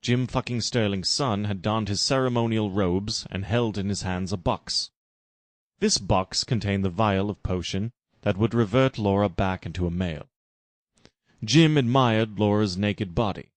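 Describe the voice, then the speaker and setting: A man reading a parody fan story aloud in a deadpan narrating manner. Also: fairly low pitch, no echo. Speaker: reader.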